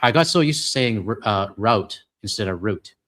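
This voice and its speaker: A middle-aged man with a Canadian accent and a somewhat low voice. He talks in a loose, rambling way, full of fillers and self-deprecating asides, as he co-hosts a live stream. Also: animated, moderate pace, a bit bright. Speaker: co-host reading donations. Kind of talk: casual live-stream chat.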